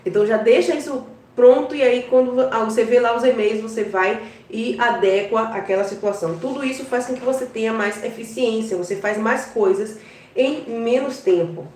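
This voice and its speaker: A woman with a Bahian accent talks straight to her viewers in a chatty, reassuring way and keeps checking in with them as she goes. Her voice sits fairly high. It comes from a step back off the mic and bounces around an echoey room. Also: normal speed, a little mellow, far from the mic. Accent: Bahian Portuguese. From Brazil. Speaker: online business vlogger. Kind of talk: casual advice talk to viewers.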